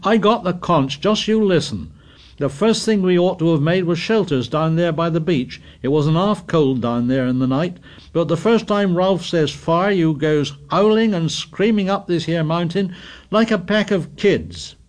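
A man doing Piggy, a shrill indignant schoolboy. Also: expressive, unhurried. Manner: scolding, breathless, dialect grammar. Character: indignant chubby schoolboy, fussy and anxious.

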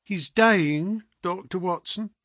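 Fretful old landlady, raised pitch, husky, read by a man. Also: slow pace, fairly bright tone, no echo, clean studio sound, exaggerated voice. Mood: anxious pleading. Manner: urgent, pleading. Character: worried elderly landlady, anxious and pleading.

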